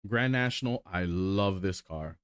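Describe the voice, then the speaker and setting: A man in his forties chatting casually and excitedly about his sim racing cars. He rambles, swears casually and fills pauses with lots of ums. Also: deep voice, smooth, no room sound, clean studio sound. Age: 40s. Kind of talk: casual chat while showing cars.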